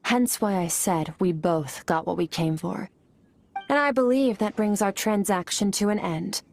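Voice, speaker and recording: A woman voicing a composed, powerful female warrior in a video game, speaking calmly and with detachment. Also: medium pitch, vocal fry, slight background noise. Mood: cool. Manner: calm, measured, detached.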